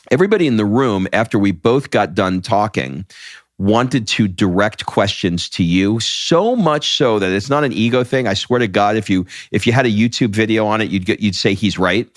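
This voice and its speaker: Deep-voiced man telling stories. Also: no room sound, studio quality. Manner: animated storyteller, frequent restarts. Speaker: podcast co-host. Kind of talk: casual podcast chat.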